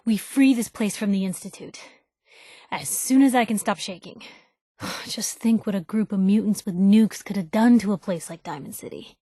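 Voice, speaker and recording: A spirited young woman reporter from a wasteland video game. She is sardonic and chatty, with expressive, wry lines at a middling female pitch. The sound is dry and close, with no room to it. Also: no echo. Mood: excited, nervous. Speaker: video game companion character. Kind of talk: acted game dialogue lines.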